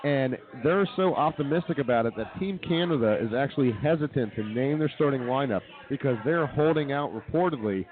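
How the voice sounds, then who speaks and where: Man, fast-talking, confident sports chat, restarts, tinny phone-like audio. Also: mid-range voice, expressive. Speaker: co-host. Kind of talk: casual sports talk. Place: brewery, live show.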